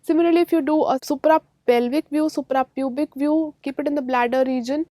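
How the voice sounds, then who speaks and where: A woman with an Indian accent teaches a medical class in a high-pitched voice. She is didactic and emphatic, restating key terms and putting short check questions to her students. The sound is dry, with very little room echo. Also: fairly even intonation, fairly quick, warm tone. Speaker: radiology lecturer. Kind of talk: medical exam-prep lecture.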